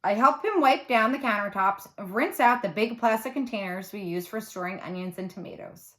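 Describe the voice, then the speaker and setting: A woman narrating a children's novel aloud like a teacher, with expressive storytelling. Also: mid-range voice, fairly quick.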